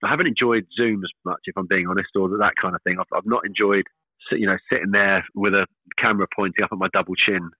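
A man in his thirties, a professional cricketer, talking casually in an interview, fairly monotone and hesitant with many fillers and restarts. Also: deep voice, fast, slightly rough, no room sound. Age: 30s. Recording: tinny dry phone line.

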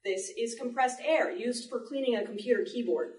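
A woman giving a live demonstration talk to an audience, explaining in an animated way with playful asides. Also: fairly high pitch, normal speed. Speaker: panel presenter, sound effects artist. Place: indoor library panel before an audience. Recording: echoey room, distant microphone.

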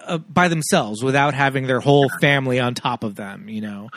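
A man's voice: mid-range voice, brisk, fairly bright tone, dry recording, studio quality. A man, a podcast host, telling stories in a chatty way with many fillers in a casual film chat.

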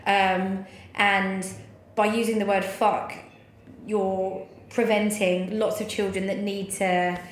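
A woman's voice: mid-range voice, level tone, slow pace. A woman in her thirties talking candidly and informally as an interview guest, telling personal stories with plenty of swearing. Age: 30s. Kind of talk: candid conversational storytelling. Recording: echoey room, faint background noise.

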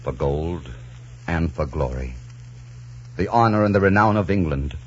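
A man's voice: sits low, lively intonation, a little slow, breathy edge, full character voice. An actor playing a bold buccaneer captain in a 1940s radio drama, earnest, declamatory and deferential to his king. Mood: proud, fervent. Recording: muffled old 1940s radio broadcast.

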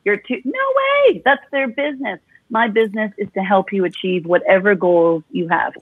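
Female vocal coach guest, animated and demonstrative, husky tone, dry tinny phone-line sound. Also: mid-range voice, normal speed, dry recording. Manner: expressive, talks with her hands.

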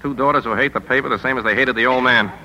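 An actor playing a middle-aged, hard-driving, cynical newspaper editor in a 1950s radio drama, with a punchy, world-weary delivery. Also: male voice, medium pitch, steady tone, very fast, sharp tone, a little hiss or hum, low-quality recording. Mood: bitter.

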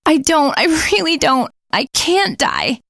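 A woman's voice: on the high side, animated, slow, vocal fry, breathy edge, no room sound, clean studio sound, pitched above the performer's own voice, full character voice. A woman voicing a terrified young woman haunted by a faceless ghost, talking in a trembling, breathless, pleading way. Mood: hysterical.

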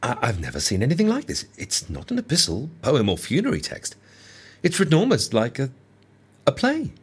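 An actor playing an excitable, erudite scholar of ancient Egypt in an audio drama, with a husky voice. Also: male voice, medium pitch, brisk, heavily acted. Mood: amazed, curious. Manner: erudite, animated.

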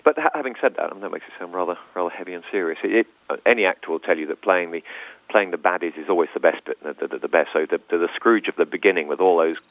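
A man giving a thoughtful interview as an actor, pausing and repeating words as he goes. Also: low voice, no room sound. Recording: narrow, tinny phone-like line.

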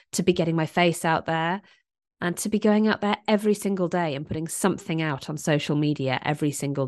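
A woman's voice: on the low side, dry recording, studio quality. A woman in her thirties hosting a podcast, talking candidly and reflectively with a stuffy, congested voice from a chest infection and hay fever. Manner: candid and reflective, with frequent restarts. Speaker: podcast host. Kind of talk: casual solo podcast monologue.

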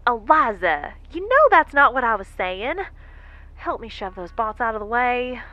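Bright-voiced, wry stranded space explorer. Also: female voice, a little high, big pitch swings, unhurried, muffled recording, full character voice. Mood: wry, weary. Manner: wry, folksy, muttering asides. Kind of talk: sci-fi audio drama acting.

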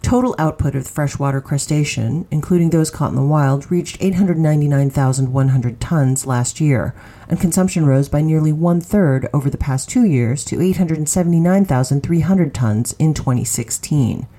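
A news reader with a soft, dark-toned voice of medium pitch narrates a business article. The delivery is that of scripted narration, read from the page. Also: moderate pace.

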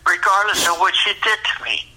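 A middle-aged or older man talking intimately and earnestly on a phone call, tender, pleading and halting, sounding choked up. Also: high voice, pushed and bright. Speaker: father talking with his adult daughter. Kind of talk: intimate, emotional phone conversation. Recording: phone call heard through a speaker.

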